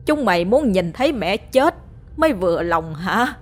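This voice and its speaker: A woman's voice: medium pitch, big pitch swings, moderate pace. Woman doing a reproachful old mother. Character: elderly mother, weak and reproachful.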